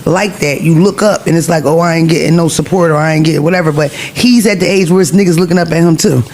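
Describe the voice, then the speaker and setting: A man's voice: fairly high pitch, fairly even intonation, quick pace, darkish tone. A man in casual discussion as a podcast panelist.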